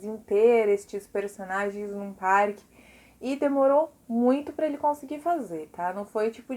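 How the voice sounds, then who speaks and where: Female teacher, Brazilian accent, higher-pitched voice; informal explainer delivery for students, peppered with checking tags. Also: a little mellow. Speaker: art teacher giving a video lesson. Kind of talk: informal scripted-free lesson explanation to students.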